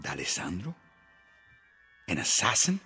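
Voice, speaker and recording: An actor playing a paranoid, grandiose revolutionary leader in a mid-century television drama. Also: male voice, sits low, wildly swooping pitch, unhurried, sharp tone, slightly rough, heavily acted. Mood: menacing. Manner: grandiose, theatrical, commanding. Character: paranoid, imperious male revolutionary leader turned ruler. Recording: old TV soundtrack, reverberant, music behind.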